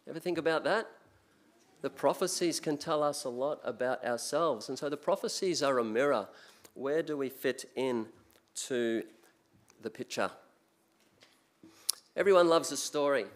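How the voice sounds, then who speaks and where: An older Australian man preaching at a church pulpit into a mic. His delivery is relaxed and conversational, full of small restarts and fillers. His pitch sits in the middle range. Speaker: guest preacher. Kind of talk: sermon. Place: church sanctuary, speaking at a pulpit.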